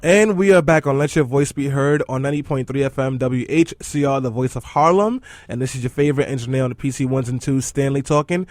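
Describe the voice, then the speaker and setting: A man with a hoarse voice co-hosting a talk radio show in a studio, joking with his co-host and then speaking seriously about the news. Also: mid-range voice, quick pace, hardly any pauses, no room sound, faint music behind. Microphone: studio microphone.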